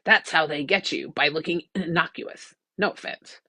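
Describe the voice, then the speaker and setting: Woman acting a gruff, husky old woman. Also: deep voice, normal speed, sharp tone, dry recording. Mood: suspicious. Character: gruff, suspicious old woman, crusty hologram smuggler.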